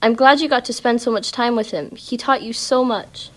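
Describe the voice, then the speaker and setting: Woman playing a high-voiced, tender mother. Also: moderate pace, lightly acted. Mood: tender concern. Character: tender, reassuring mother of a young boy. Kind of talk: children's puppet show drama.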